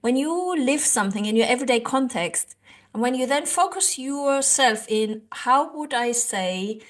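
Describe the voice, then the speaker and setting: A woman's voice: high voice, lively intonation, moderate pace. Earnest German-native woman teaching. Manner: earnest, rambling, many fillers and restarts. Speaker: language-learning YouTuber. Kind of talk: casual teaching to camera.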